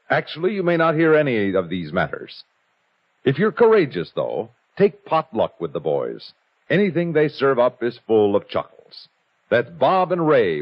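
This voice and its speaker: A man with an American accent reading a scripted promo as a vintage radio announcer, polished and wryly mock-serious. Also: mid-range voice. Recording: dull vintage radio recording.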